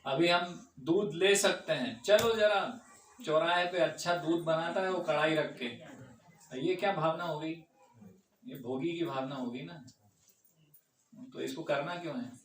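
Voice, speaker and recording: A man's voice: fairly high pitch, a little slow, fairly bright tone. A man leading an informal question-and-answer discourse in a temple hall, talking conversationally and often checking that listeners agree. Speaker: devotee speaker answering audience questions. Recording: echoey room, far from the mic, faint hum.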